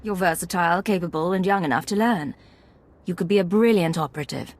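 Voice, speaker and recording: A woman's voice: fairly low pitch, fairly quick, close to an everyday voice. A woman acting a composed undercover intelligence agent in a video game, speaking in a clipped, formal, controlled way.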